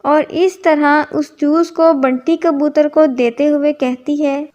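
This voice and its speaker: A woman's voice: high voice, fairly even intonation, slow pace, muffled recording, very noisy. A woman narrating a scripted cartoon story as its storyteller.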